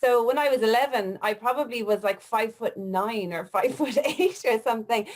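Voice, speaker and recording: Irish woman in her 40s, limerick accent, slightly high voice, chatting about herself as a guest. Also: a little fast. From Limerick, Ireland. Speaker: interview guest, wellness coach. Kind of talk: casual personal storytelling.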